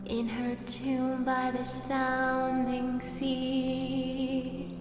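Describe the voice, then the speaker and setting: High, very slow, echoing woman singer. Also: monotone. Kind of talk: slow lullaby-like singing of a poem. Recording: long echoing reverb over music. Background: music.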